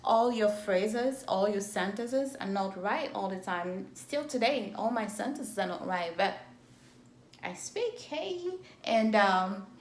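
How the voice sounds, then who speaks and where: A woman in her thirties from Cameroon whose first language is French. She speaks English with a strong Cameroonian French accent, slowly and deliberately, in a casual vlog recorded on her phone. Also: medium pitch, lively intonation, slight background noise. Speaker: event planner and entrepreneur. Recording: echoey room on a phone.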